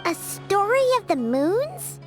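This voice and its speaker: A woman playing a tiny, excitable childlike fairy companion in a video game, eager and full of questions. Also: very high voice, slow pace, music in the background, exaggerated voice. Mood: curious, amazed. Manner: eager, inquisitive, exclaiming. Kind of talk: scripted video game dialogue.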